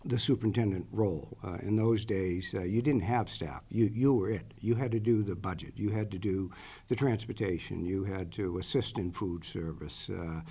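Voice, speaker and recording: An older man with a Boston accent talks through his career at a school committee meeting. He rambles, filling gaps with uh and restarting phrases, in a somewhat low voice. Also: normal speed, dark tone, breathy edge. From Boston area, Massachusetts. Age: 60s. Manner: rambling, many "uh" fillers and self-corrections, chatty. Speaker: superintendent candidate. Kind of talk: public interview answer.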